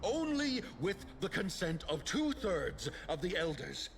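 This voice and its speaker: An actor playing a defiant, indignant rebel leader in a game, with emphatic, accusing speech. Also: male voice, high voice, sing-song, measured pace, vocal fry, full character voice. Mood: rallying. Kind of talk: theatrical scripted game voice acting. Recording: music behind.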